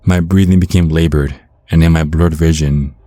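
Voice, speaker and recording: Man playing a very deep-voiced vampire storyteller. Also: fairly even intonation, normal speed, dark tone, no room sound. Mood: ominous. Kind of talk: first-person horror storytelling, ASMR.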